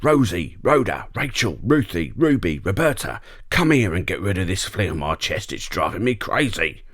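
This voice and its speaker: A deep, bossy storybook gorilla, acted out by a man, fierce and easily exasperated. The words come as blustering orders and threats, recorded dry and close. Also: very animated, normal speed, a bit bright, dry recording, heavily acted. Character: huge fierce male gorilla, impatient, itchy, bossy. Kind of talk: storybook character voice.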